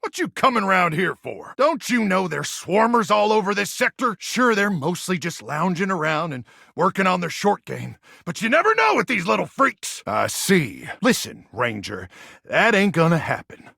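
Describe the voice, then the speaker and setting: An American man voicing a feral, preachy wasteland soldier in a video game, with a gravelly voice and a folksy, theatrical drawl. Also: high voice, very animated, normal speed, bright, forceful tone, no room sound, studio quality, full character voice. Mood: gruff, somber. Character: feral, zealous, bragging wasteland soldier of a household appliance. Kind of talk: video game character voice acting.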